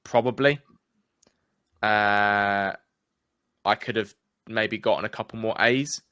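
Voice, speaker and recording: Young man, English accent, casual chatty guest, low-ish voice, dry close sound. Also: steady tone, slow, bright, forceful tone, clear, resonant tone, no room sound. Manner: candid, chatty, slangy. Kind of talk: casual conversation.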